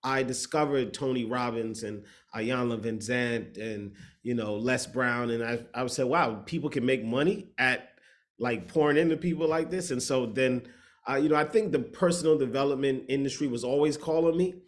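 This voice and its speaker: A man from the Bahamas, a matchmaker and speaker, telling his story in a reflective, steady way in an interview over a video call, sometimes searching for words. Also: medium pitch, normal speed.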